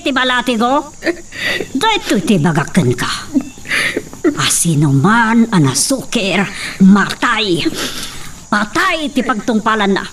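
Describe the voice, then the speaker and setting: A woman's voice: a little high, a bit bright. A woman playing a domineering, threatening rich lady of a hacienda in an audio soap drama. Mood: cold threat. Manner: imperious, threatening. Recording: distant mic, faint background noise.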